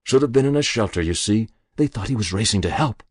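A man voicing an elderly woman quietly confessing to a long-ago murder, in a raised, lively voice. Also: fairly low pitch, fast, breathy edge, no echo, studio quality, pitched above the performer's own voice. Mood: pained.

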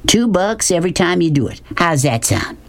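A woman reading a storybook as a gruff, mocking, bullying rural man. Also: on the low side, big pitch swings, moderate pace, pitched above the performer's own voice. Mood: scheming, boastful. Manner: folksy, pushy, mocking.

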